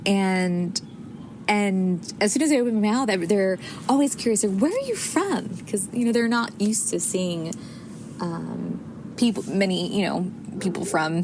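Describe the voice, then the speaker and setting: An American woman in her thirties talks through a casual solo podcast, chatty and full of little restarts and fillers. Her voice sits at an ordinary pitch, with clear noise running behind it. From United States. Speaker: podcast host. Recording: audible background noise.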